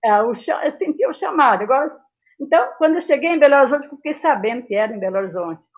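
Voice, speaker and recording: An elderly woman tells her memories as an interview guest. Her voice sits fairly high, and she rambles from detail to detail, often tagging phrases with a small question. The sound is somewhat dull and lo-fi. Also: animated, fast. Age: 70s. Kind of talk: reminiscing in a casual interview.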